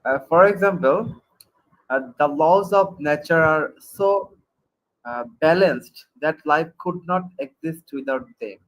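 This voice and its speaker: Hesitant caller from Bangladesh. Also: male voice, on the high side, slow, dull audio. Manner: hesitant, many fillers, reads prepared points. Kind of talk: prepared argument in a call-in debate. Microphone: computer mic on a video call.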